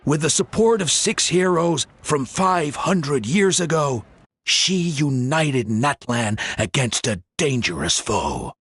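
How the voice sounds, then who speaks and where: A man playing a genial, theatrical storyteller in a video game, speaking in a measured, dramatic way with deliberate pauses. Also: a little high, lively intonation, fairly bright tone, slightly rough, full character voice. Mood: dramatic, reverent. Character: genial male storyteller, theatrical, fond of children.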